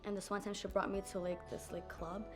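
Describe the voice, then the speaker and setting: Excitable woman student, music behind. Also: mid-range voice, a little fast. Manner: excitable storytelling, many "like"s. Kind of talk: casual roundtable talk.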